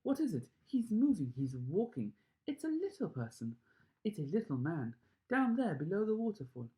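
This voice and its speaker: A man voicing astonished, excited children in a storybook reading, exclaiming in short urgent bursts. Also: high voice, normal speed, voice pushed up. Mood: frantic excitement. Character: excited shrieking children spotting something astonishing, high and breathless.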